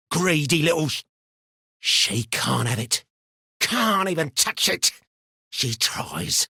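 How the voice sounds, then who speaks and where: An actor voicing an eccentric, paranoid, chatty miner in a video game, with a rambling, colloquial delivery. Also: male voice, mid-range voice, a bit bright, husky, no room sound, studio quality, heavily acted. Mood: possessive, menacing. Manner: rambling, muttering, colloquial, theatrically swinging between chummy and suspicious. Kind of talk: video game character voice lines.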